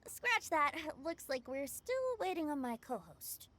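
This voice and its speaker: Bright, high-voiced spirited young woman. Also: unhurried, heavily acted. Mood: teasing. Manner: casual, expressive. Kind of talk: animated video game voice acting.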